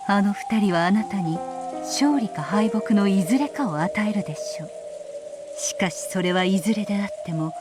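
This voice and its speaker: A woman playing a stern, motherly witch mentor in a Japanese visual novel, speaking in a measured, solemn, lecturing way. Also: mid-range voice, music in the background, close to an everyday voice.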